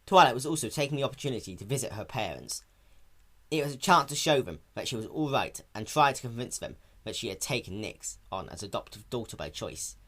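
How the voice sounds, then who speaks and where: A man narrates a story in a dramatic reading style. His voice has a medium pitch and rises and falls widely as he reads. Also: expressive, a little fast, a bit bright.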